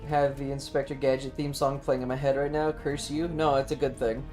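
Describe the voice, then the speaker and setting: A man's voice: medium pitch, little pitch movement, fairly quick. A man livestreaming a video game with casual, irreverent, playful joking commentary and swearing. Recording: game music behind. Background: video game music.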